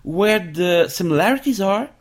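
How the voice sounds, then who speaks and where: A man with a Dutch accent, a researcher and author answering interview questions in a thoughtful, halting way with frequent restarts. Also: high voice, expressive. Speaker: education researcher and book author.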